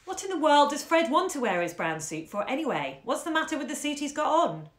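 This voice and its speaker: A woman's voice: medium pitch, sing-song, normal speed, a bit bright, slight background noise. A woman voicing a busy, exasperated mother who scolds sharply and impatiently. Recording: roomy echo.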